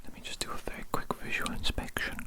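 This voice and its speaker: Male ASMR performer as a creepy, polite doctor; fully whispered, breathy, deliberate delivery. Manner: slow, deliberate, repetitive instructions. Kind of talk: whispered ASMR medical exam roleplay.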